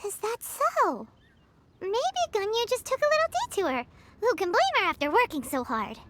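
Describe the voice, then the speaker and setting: A tiny floating game companion with an extremely high, squeaky little-girl voice. The delivery swoops around, chirpy and excitable, over loud background noise. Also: normal speed. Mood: curious, puzzled. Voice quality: squeaky, childlike. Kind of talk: video game voice acting.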